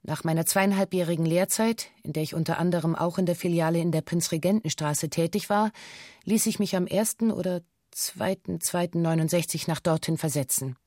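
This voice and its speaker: An actress playing a young former bank clerk who gives a formal, matter-of-fact witness statement in a radio drama. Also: female voice, lowish voice, fairly quick, warm tone, no room sound, clean studio sound, lightly acted. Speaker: actor in a radio documentary drama. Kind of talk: scripted first-person testimony read aloud.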